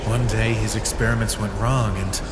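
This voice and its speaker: A man voicing an eerie gossiping follower of a vanished scientist in a comic dub, ominous and deliberate. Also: lowish voice, steady tone, natural voice. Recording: echoey, noisy background.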